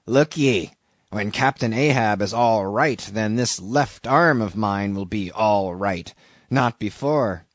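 A man's voice: on the low side, slow pace, no room sound, studio quality. A man voicing a shabby old sailor in an audiobook, an ominous, derisive stranger who talks insistently in archaic seafaring speech. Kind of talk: audiobook character reading.

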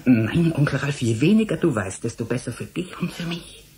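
A man's voice: on the high side, animated, brisk, a little mellow, husky. Determined, stubborn male scientist. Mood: hushed, urgent. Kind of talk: German radio drama acting.

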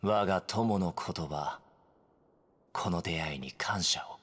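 A solemn male sage from a fantasy game. His voice is very low and very slow, intoning cryptic archaic phrases like verse. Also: a bit bright, exaggerated voice. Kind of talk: Japanese video game cutscene acting.

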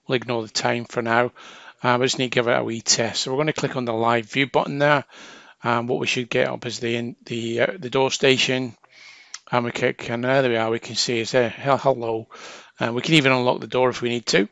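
Male technical trainer, bright and gravelly, close dry sound, informal step-by-step walkthrough delivery. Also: medium pitch, flat delivery, fairly quick, no room sound, highs cut off. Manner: plain-spoken, step-by-step. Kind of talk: informal hands-on tutorial narration.

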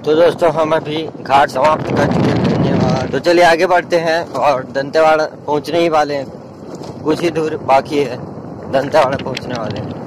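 A man's voice: a little high, fairly even intonation, moderate pace. A young man, chatting casually to viewers while riding a motorbike outdoors. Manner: chatty, pointing things out to viewers. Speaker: vlogger. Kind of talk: casual ride commentary. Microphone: camera mic on a riding bike. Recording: loud wind and engine noise. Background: wind rush and traffic.

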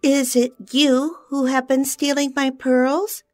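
A woman voicing a proud, hot-tempered female fairy of a fountain, speaking in a shrill, imperious way. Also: little pitch movement, slow, no room sound, faint music behind. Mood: angry.

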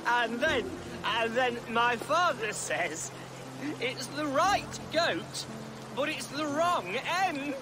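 A man's voice: extremely high pitch, slow, music in the background, full character voice. A man playing an earnest, tender-hearted young Saxon nobleman in a video game. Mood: tipsy, excited. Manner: earnest, wistful, expressive. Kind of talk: dramatic video game acting.

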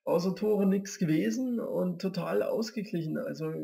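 A man's voice: sits high, moderate pace, vocal fry, mellow, breathy edge. A man giving chatty, rambling match-day commentary on an online football manager league, stumbling over team names.

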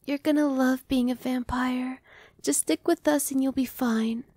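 A woman voicing a cheerful, newly turned young female vampire in an ASMR roleplay, speaking softly and reassuringly, sometimes dropping to a whisper. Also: high-pitched, steady tone, measured pace, no echo. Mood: playful. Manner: soft, reassuring, playful, drops to whispers. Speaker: voice actress.

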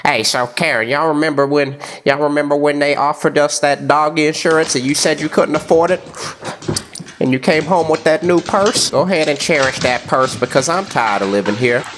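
A man's voice: a little high, moderate pace, fairly bright tone, a little hiss or hum, lightly acted. A man voicing a suspicious, irritable pet, ranting in slang for a comic animal voiceover. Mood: aggrieved. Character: suspicious, irritable house pet scolding others.

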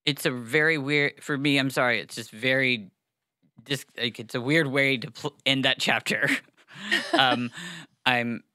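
A man's voice: medium pitch, steady tone, measured pace, pushed and bright, no room sound. A young man co-hosting a podcast, chatting casually about a book with many fillers and restarts, on a studio mic. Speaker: podcast co-host. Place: small studio, seated. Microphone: studio mic on boom arm.

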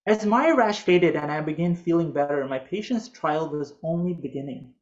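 A man in his thirties whose first language is Urdu, reading a prepared talk in a measured, earnest way over a video call from a home office. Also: on the high side, lively intonation, highs cut off. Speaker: physician presenter.

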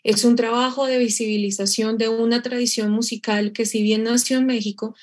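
A woman's voice: fairly high pitch, fairly even intonation, moderate pace, a little mellow. A young woman reading a prepared, formal book review aloud over a video call. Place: room indoors. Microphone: video call webcam.

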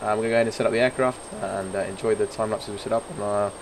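Hoarse, croaky man narrating a flight sim. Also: on the low side, steady tone, very fast, noisy background. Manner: rambling, many fillers and restarts. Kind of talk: casual gameplay commentary.

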